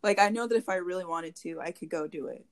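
A woman's voice: on the low side, fast. A young woman co-hosting a casual chat podcast, giggly and rambling with lots of fillers, talking into a handheld mic held close. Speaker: podcast co-host. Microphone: handheld mic held to mouth.

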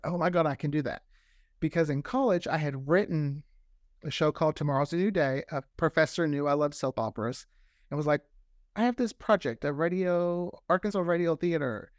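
Higher-pitched man chatting casually. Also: fairly quick, no room sound, clean studio sound. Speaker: podcast creator guest. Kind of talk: casual interview chat.

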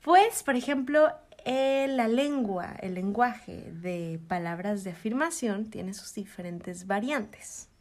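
A woman's voice: medium pitch, slow pace, few pauses. A woman with a Mexican accent, a podcast co-host explaining in a casual, teacherly way. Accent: Mexican Spanish. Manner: animated, teacherly, laughs often.

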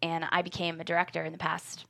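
A woman screenwriter and director giving an animated interview, chatting casually and voicing imagined quotes as she goes. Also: on the low side, fast talker, creaky.

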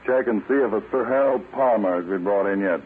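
An actor playing a stern, self-assured American government agent in a 1940s radio drama, speaking with deliberate authority. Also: male voice, fairly low pitch, close to an everyday voice. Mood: grave, explaining. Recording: muffled, tinny, noisy old radio recording.

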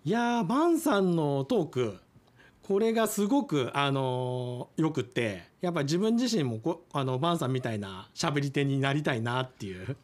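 A man speaking as a listener guest in a casual radio chat, polite and earnest, pausing on fillers. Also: fairly high pitch, very animated.

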